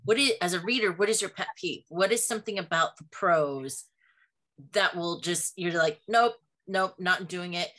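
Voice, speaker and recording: A woman's voice: lowish voice, moderate pace, fairly bright tone. A woman co-hosting a podcast, putting questions in a casual, chatty interview style.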